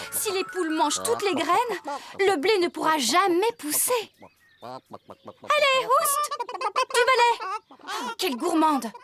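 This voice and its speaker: High-pitched, bossy cartoon farm woman. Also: exaggerated voice. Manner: brisk, expressive, scolding. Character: bossy, lively young woman farmer, teasing and exasperated. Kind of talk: French children's cartoon dubbing. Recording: background music under the voice.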